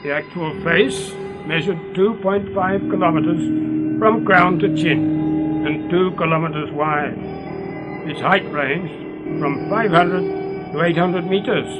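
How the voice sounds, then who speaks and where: A man plays an official guide at a sci-fi preserve. He delivers measured, informative radio-play narration in a fairly high voice. Guitar music is loud behind him. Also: lightly acted, low-quality recording. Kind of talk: scripted tour commentary in amateur radio play.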